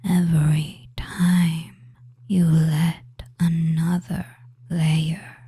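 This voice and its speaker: Very slow, breathy whispered hypnotist voice. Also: mid-range voice, little pitch movement, dark tone, no echo. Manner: very slow, drawn-out short phrases with long pauses, coaxing and commanding. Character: seductive, commanding, soothing witch hypnotist. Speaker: audio roleplay performer. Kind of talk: whispered hypnosis audio roleplay, slow guided trance.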